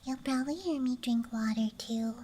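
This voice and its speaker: A woman whispers in a slow, breathy ASMR style, rambling softly and a little hesitantly. Her voice is very high and mellow. A soft bed of night ambience sits faintly behind her. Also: slight background noise. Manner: soft, hesitant, musing, self-deprecating. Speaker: ASMR creator.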